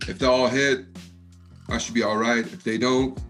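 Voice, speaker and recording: Deep-voiced Texan man, fast talker. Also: flat delivery, fairly bright tone, soft background music. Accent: East Coast US tinge over Texan. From Texas. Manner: fast-talking, laid-back, laughs often, says man a lot. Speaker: rapper interviewee. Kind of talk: casual interview chat.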